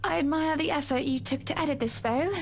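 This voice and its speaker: An actress playing a wealthy married socialite in a visual novel, gracious yet condescending, commanding, and free with sweet endearments. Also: female voice, high-pitched, fairly quick, vocal fry. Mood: politely displeased.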